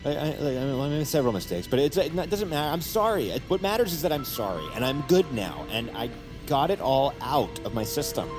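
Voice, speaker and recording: A man's voice: medium pitch, very animated, fairly quick, hardly any pauses, close to an everyday voice. An actor playing a brash, sarcastic, stammering male patient in an audio drama, with music behind him. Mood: pleading. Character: rattled, wisecracking male patient plotting an escape. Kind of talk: audio fiction acting with inner-monologue asides.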